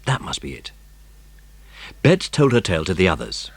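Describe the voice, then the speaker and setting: Male narrator for a children's audiobook, deep and husky, expressive, dramatic storytelling. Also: normal speed, no room sound.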